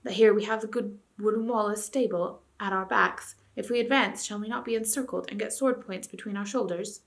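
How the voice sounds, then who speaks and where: A woman's voice: medium pitch, fairly even intonation, brisk, gravelly, natural voice. A woman voicing a cautious, loyal male dwarf speaking in old-fashioned words.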